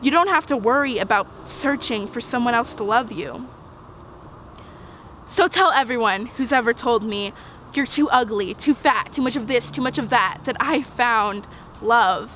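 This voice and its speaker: A teenage young woman recites slam poetry in a high voice. Her delivery is earnest and rhythmic, leaning hard on key words and pausing for effect. Faint urban outdoor noise sits behind her. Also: fairly bright tone, low-quality recording. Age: teens. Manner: earnest, emphatic, dramatic pauses. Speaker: slam poet.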